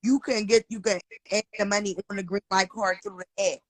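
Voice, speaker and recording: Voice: medium pitch, fairly even intonation, brisk, dry recording. A host giving earnest, encouraging advice in a casual chat, repeating phrases for emphasis.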